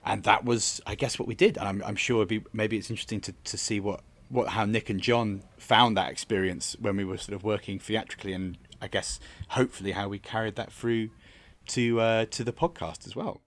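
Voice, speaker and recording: A man speaking as a theatre director in a reflective interview, halting and often repeating words as he works out his thoughts. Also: lowish voice, steady tone, fairly bright tone.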